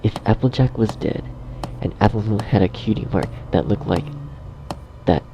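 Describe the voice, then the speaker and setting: A man reading scripted dark fiction as a narrator in a measured storytelling manner. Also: on the low side, highs cut off, background noise.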